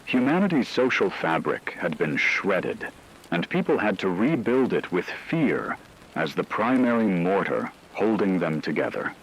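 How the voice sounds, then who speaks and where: Man, low voice, lively intonation, solemn scripted narration, measured delivery, noisy rain and radio backdrop. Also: gravelly. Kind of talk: scripted dramatic documentary-style narration of an apocalypse story.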